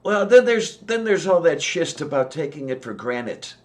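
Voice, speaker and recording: A man co-hosting a casual chat, talking with many "um"s and pauses. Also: fairly high pitch, sing-song. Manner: slow, hesitant, many "um"s and pauses. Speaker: podcast co-host.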